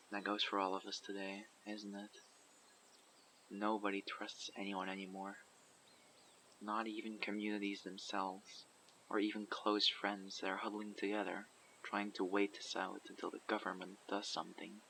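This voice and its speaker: A man's voice: lowish voice, completely flat delivery, creaky, bright, forceful tone, a little hiss or hum, heavily acted, low-quality recording. A man playing a guarded survivor leader. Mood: calm, probing. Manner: measured, probing, matter-of-fact. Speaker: community leader questioning a newcomer. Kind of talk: audio roleplay. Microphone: USB condenser microphone.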